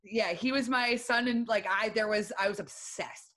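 A woman's voice: medium pitch, normal speed, a bit bright. A woman in her thirties, a musician guest chatting casually on a podcast, talkative, laughing often and full of fillers. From western New York. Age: 30s.

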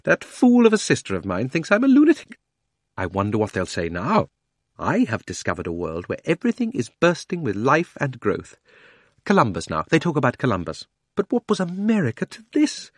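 A man voicing a pompous, greedy elderly magician in his sixties, blustering and gloating with shrill exclamations. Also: wildly swooping pitch, brisk, no room sound, studio quality. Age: 60s.

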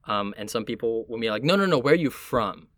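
A man from Miami with a Puerto Rican family, talking casually and reflectively, often halting as he searches for words. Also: mid-range voice, expressive, no room sound.

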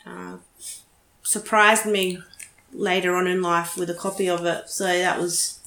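A woman's voice: lowish voice, steady tone, unhurried, sharp tone. A woman in her thirties chatting informally as a podcast co-host, talking like an enthusiastic fan. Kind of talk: casual chat. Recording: echoey room, noisy background, clicks.

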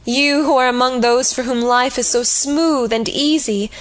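A woman reading the part of a young, penniless governess who speaks with shrill, piercing passion. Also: steady tone, a little slow. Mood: passionate.

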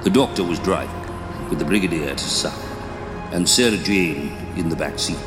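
A man's voice: low-pitched, moderate pace. A man reading scripted narration for an audio drama, as the storyteller between scenes. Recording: echoey long reverb, music behind.

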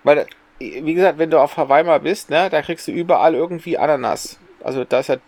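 Guy on a podcast, kinda high voice with lots of creak, just chatting. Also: brisk, darkish tone. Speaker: podcast co-host. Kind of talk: casual podcast chat.

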